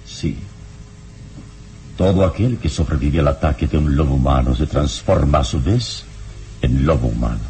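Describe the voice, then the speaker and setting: An actor playing a calm, wise, fearless adventurer in an old radio serial, speaking solemnly and reassuringly. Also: male voice, rumbling low, normal speed, vocal fry, long pauses, faint music behind. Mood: grave, explaining. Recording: faintly noisy old radio recording.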